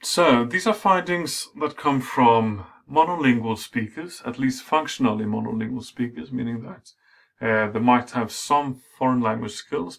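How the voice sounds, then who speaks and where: A middle-aged man, a native Swedish speaker, giving an academic lecture in a measured way with frequent fillers. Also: mid-range voice, gravelly.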